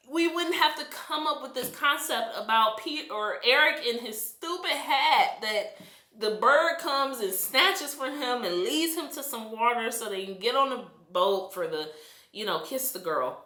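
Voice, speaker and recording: An American woman ranting in a casual, animated and exasperated way as she reviews a movie for her vlog. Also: sits high, reverberant. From United States. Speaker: vlogger.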